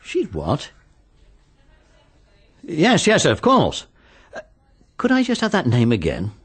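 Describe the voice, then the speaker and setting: An actor playing a methodical, polite police inspector in a British radio mystery, with a businesslike manner. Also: male voice, a little high, huge pitch swings, slightly rough, exaggerated voice. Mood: attentive, official. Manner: businesslike, deliberate.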